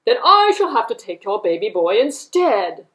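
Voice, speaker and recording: A woman voicing a sly, courteous but bossy male fox in a puppet tale, with emphatic, drawn-out formal phrasing. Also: fairly high pitch, big pitch swings, a bit bright. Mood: menacing.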